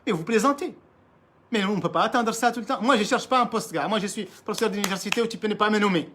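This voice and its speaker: A man's voice: high voice, fast talker, fairly bright tone. A man from Mauritania, an Arabic speaker, delivering an impassioned political monologue in French, emphatic and repetitive, often restarting his words and addressing leaders directly. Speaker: political commentator.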